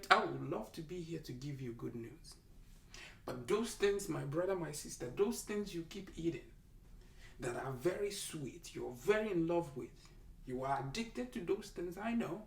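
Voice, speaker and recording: Nigerian-accented doctor, slow and emphatic, gravelly tone, preaching health advice, recorded in an echoey room from a distance. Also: medium pitch, lively intonation, fairly bright tone. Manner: emphatic, exhorting, repeats lines for effect. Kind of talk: preachy health talk to camera.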